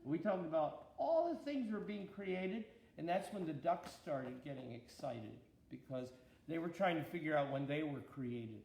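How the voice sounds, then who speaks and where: An older man reading a children's storybook aloud in an expressive, grandfatherly way, with deliberate pauses and chatty asides. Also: on the high side, creaky. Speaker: bishop reading Bible stories to his granddaughter. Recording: echoey room.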